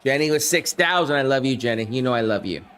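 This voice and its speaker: A man with a hoarse, flu-worn voice calling a video game football simulation play by play on a live stream, shouting on big plays and chatting with his viewers. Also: medium pitch, animated, fast.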